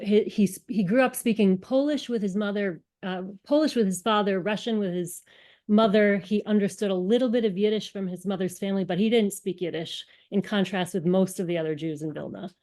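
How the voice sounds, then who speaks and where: A woman's voice: mid-range voice, dark tone. A woman giving an earnest, explanatory lecture, with frequent ums. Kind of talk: synagogue lecture presentation.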